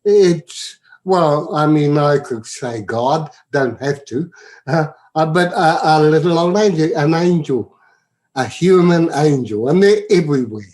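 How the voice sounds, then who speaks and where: A man talking as a podcast guest in a reflective, philosophical way, halting and often repeating his words. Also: a little high, darkish tone. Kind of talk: reflective, spiritual casual talk.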